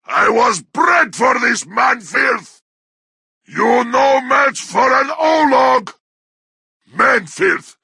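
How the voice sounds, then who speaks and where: A man playing a brutish, bloodthirsty orc warrior in a video game, snarling crude taunts in a harsh, raspy growl. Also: very high-pitched, bright, forceful tone, no room sound, clean studio sound, exaggerated voice. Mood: furious, savage. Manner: snarling battle barks in crude, broken grammar. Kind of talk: video game combat barks.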